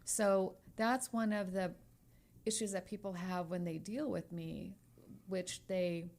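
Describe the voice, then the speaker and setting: Woman, 60s, lawyer co-host. Lowish, gravelly voice, frank, emphatic delivery. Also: steady tone, slow, darkish tone. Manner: emphatic and frank, repeats phrases while searching for words. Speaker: podcast co-host, trial lawyer. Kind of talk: candid podcast conversation.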